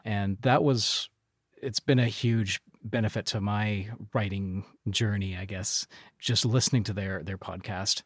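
Male podcast guest, lowish voice, halting conversational delivery, dry studio-close sound. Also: steady tone, no echo. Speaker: podcast producer and writer, interview guest. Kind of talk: casual conversational recollection.

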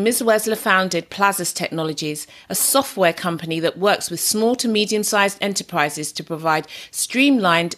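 A woman's voice: mid-range voice, a bit bright. A woman doctor hosting a podcast, reading a formal scripted introduction and asking questions. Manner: formal, reads a prepared script.

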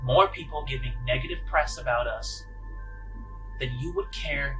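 A man's voice: mid-range voice, music in the background, lightly acted, low-quality recording. Man playing a condescending, jaded male guard officer. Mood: condescending, annoyed. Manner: condescending, unhurried, needling.

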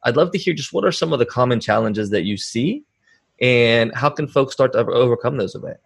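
A man's voice: medium pitch, a little fast, creaky, breathy edge. Man hosting a podcast interview. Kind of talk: conversational interview.

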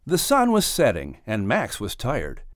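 A man reading a children's adventure audiobook as narrator, in an expressive scripted storytelling style. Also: medium pitch, unhurried, no room sound, clean studio sound.

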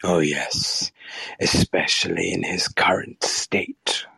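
Audio drama villain: sadistic alien warlord. Low, slow, theatrical, gloating, rasping. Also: male voice, fairly even intonation, pushed and bright, dry recording, full character voice, poor audio. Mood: smug anticipation. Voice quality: gravelly, raspy. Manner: slow, grandiose, taunting villain delivery.

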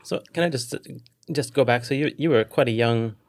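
A man's voice: mid-range voice, moderate pace. A man hosting a podcast, welcoming and questioning a guest in a friendly, slightly hesitant way. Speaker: podcast host. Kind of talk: casual interview.

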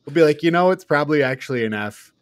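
A man's voice: fairly high pitch, lively intonation, fast, dry recording. A man co-hosting a podcast, giving blunt, opinionated takes in casual review banter. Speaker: podcast co-host.

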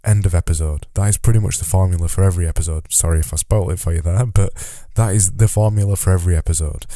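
A man's voice: low-pitched, fast, warm tone, dry recording, clean studio sound. A man with a cold and a nasal, stuffy voice, hosting a solo podcast in a rambling, self-deprecating but motivational way. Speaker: podcast host.

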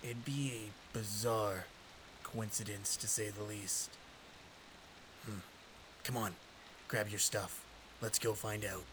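A man playing a gruff, terse, threatening ex-detective in an audio drama. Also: on the low side, steady tone, slow, long pauses, background noise. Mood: pensive. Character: gruff, threatening, hard-boiled male ex-detective.

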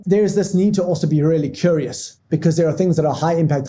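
Man, very dark mellow tone, measured, lists points step by step. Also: a little high, slight background noise. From South Africa. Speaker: marketing leader, podcast guest. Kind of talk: structured business talk.

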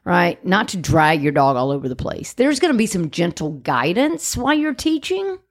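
A woman's voice: on the low side, big pitch swings, normal speed. A woman, co-hosting a podcast and explaining dog training emphatically, often asking "right?". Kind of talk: casual chat.

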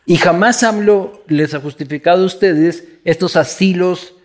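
A man's voice: high voice, moderate pace. A man from Ecuador with a Quito accent, chatting casually and bluntly, swearing freely and repeating himself for emphasis. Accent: Quito Ecuadorian Spanish. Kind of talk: casual chat.

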